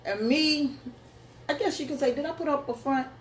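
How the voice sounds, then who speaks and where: A woman's voice: sits high, moderate pace. A woman co-hosting a casual chat show with her husband, playful and laughing often. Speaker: co-host. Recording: echoey room with faint noise.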